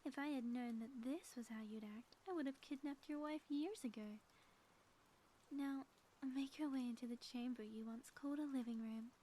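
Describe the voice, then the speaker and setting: High-pitched woman acting as a supervillainess, soft dark tone, theatrical taunts, dramatic pauses, audio roleplay, faint noise. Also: close to an everyday voice, low-quality recording. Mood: smug, theatrical. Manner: grandiose, teasing, dramatic pauses. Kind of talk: scripted audio roleplay, ASMR.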